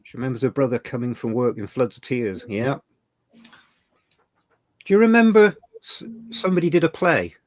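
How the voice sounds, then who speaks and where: A man's voice: medium pitch, animated, normal speed, long pauses, no echo. An older man from England, co-hosting a livestream, chatting about old memories with mild swearing. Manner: chatty, emotional, swears mildly. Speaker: livestream co-host. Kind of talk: casual reminiscing chat.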